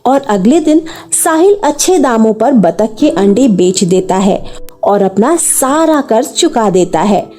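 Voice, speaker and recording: A woman's voice: fairly high pitch, animated, measured pace, warm tone, faint music behind. A woman narrating a scripted children's cartoon story.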